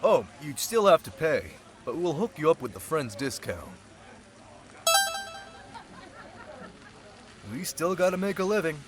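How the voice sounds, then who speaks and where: A man's voice: a little high, sing-song, brisk. A man playing a sly, centuries-old thief turned security specialist, talking in a casual, teasing way in an audio roleplay drama. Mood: teasing, friendly. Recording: loud crowd chatter behind voice.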